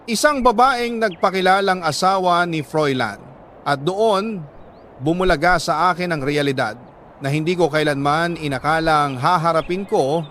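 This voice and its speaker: A man with a Filipino accent reads a letter as a dramatic first-person story, in measured, emotive short phrases. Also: on the high side, fairly bright tone, slight background noise. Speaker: radio drama storyteller reading a listener's letter.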